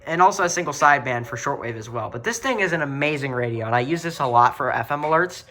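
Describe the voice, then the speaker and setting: A man's voice: mid-range voice, expressive, fast talker, bright, forceful tone. A young man hosting an off-the-cuff show-and-tell, rambling and repeating himself with blunt opinions. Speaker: video host showing a radio collection.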